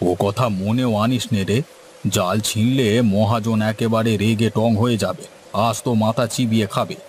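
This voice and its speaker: Man acting weary old village fisherman, lowish voice. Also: steady tone, normal speed, a little hiss or hum, natural voice. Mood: firm, cautioning. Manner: rustic, colloquial speech. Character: weary, resigned older village boatman fisherman. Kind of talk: Bengali cartoon story dialogue.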